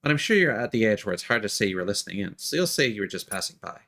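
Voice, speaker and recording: A man's voice: fairly low pitch, very animated, racing, vocal fry, pushed and bright, pitched below the performer's own voice, full character voice. A man voicing a thoughtful, concerned young woman as he reads anime game dialogue aloud. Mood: puzzled.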